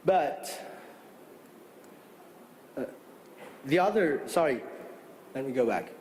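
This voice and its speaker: A man in his forties with a French accent, giving a conference talk from a podium in a large hall, halting with many fillers and restarts. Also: mid-range voice, lively intonation, a little mellow, a little hiss or hum. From France. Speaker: conference speaker. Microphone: podium microphone.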